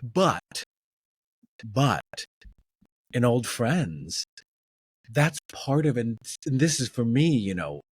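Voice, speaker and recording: Man, podcast host, medium pitch, earnest, casual, dry close recording. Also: very animated, slow, long pauses, breathy edge, dry recording. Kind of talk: conversational podcast interview.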